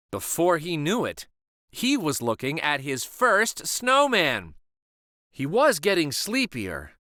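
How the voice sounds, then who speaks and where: Slow, expressive storybook-reading man. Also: fairly high pitch, no echo, studio quality. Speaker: children's read-aloud host. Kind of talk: storybook read-aloud narration.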